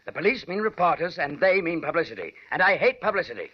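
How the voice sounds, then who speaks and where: A man's voice: a little high, sing-song, fast, slightly rough. An actor playing a stern, curt, domineering rich old man in a 1940s radio drama. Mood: stern, dismissive. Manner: curt, imperious. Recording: muffled vintage radio broadcast.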